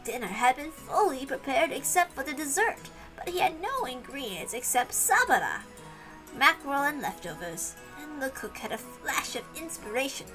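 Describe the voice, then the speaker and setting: A woman narrates a visual novel in a very bright, clear-cut voice. Her reading is expressive and lively in pitch, over faint background music. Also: moderate pace, low-quality recording.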